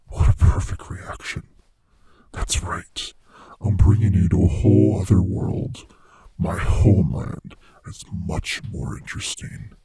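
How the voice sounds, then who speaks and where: A very deep, dark-voiced male monster from under the bed , acted by a man, speaking in a slow, heavily breathy rasp. He taunts and savors his prey with playful, sadistic menace, often dropping toward a whisper in a horror ASMR roleplay. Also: no echo, exaggerated voice.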